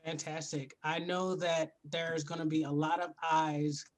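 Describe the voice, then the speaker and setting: Male entertainment host, notably high voice, enthusiastic interviewer delivery. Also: little pitch movement, a little slow, slight background noise.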